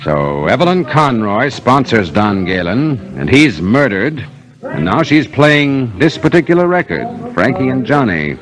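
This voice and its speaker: An actor playing a calm, probing police inspector in a 1950s radio mystery, questioning in a measured, dryly skeptical way. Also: male voice, mid-range voice. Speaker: actor in a radio detective drama. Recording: old 1950s radio recording, faint music.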